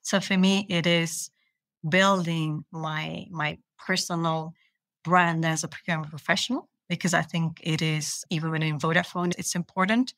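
A woman's voice: low-pitched, steady tone, moderate pace, fairly bright tone, no room sound. A woman from Belgium, a procurement manager, answering interview questions with enthusiasm and earnest small restarts.

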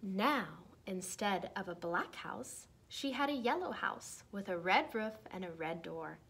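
A young woman reads a children's picture book aloud in an expressive, deliberate way for young listeners. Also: medium pitch, gravelly, slight background noise. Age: young adult. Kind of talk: storybook read-aloud. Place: small decorated room indoors.

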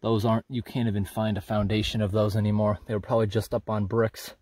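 Um homem gravando com câmera de mão enquanto caminha por uma trilha na floresta, comentando de forma descontraída e observadora o que encontra. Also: male voice, on the low side, fast talker, no echo, clean studio sound. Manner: relaxed, observant, thinking aloud. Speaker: hiker filming a walking tour. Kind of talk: casual walk-and-talk narration. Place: outdoors on a forest trail. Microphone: handheld camera.

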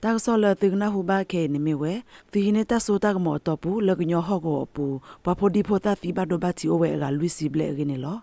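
A woman presents scripted radio news in a fairly low, mellow voice with noticeable creak. The recording is dry, like a studio. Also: brisk, few pauses, no echo.

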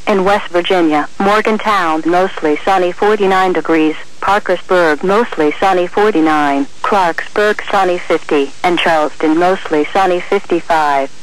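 High-pitched synthetic male weather announcer. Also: measured pace, hardly any pauses, fairly bright tone, low-quality recording. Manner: formal, clipped phrasing. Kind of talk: automated marine forecast and observations read-out. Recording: weather radio broadcast.

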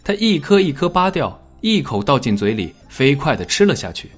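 Man reading a dramatic audiobook, expressive slightly rough voice, soft music underneath. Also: mid-range voice, normal speed. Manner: expressive storytelling. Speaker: audiobook narrator. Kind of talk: scripted dramatic story narration.

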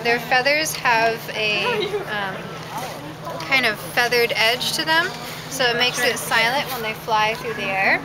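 A woman's voice: fairly high pitch, unhurried. A woman volunteer at a zoo explaining owls to visitors in a patient, casual way with small fillers. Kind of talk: casual outdoor animal talk to visitors. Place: outdoors at a zoo. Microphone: far camera. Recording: loud outdoor noise, camera from a distance.

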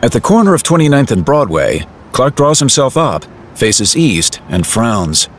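A man's voice: mid-range voice, very animated, measured pace. A man narrating an audio drama in a dramatic scripted style.

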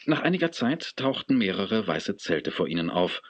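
A man narrating a children's radio play as a storyteller, in a measured, expressive manner. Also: on the low side, slightly rough, dry recording, full character voice.

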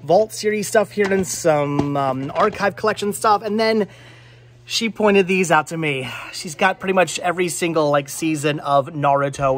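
An American man in his thirties chatting excitably as a vlog host, often repeating his words, recorded on a handheld camera indoors in a shop. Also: a little high. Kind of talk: casual handheld shopping vlog chat. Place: indoor flea market movie shop. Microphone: handheld camera at arm's length.

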